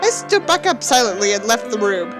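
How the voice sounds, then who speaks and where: Woman, high voice, very animated, theatrical dramatic reading, sarcastic asides, music behind. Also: brisk. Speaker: book reviewer and reader.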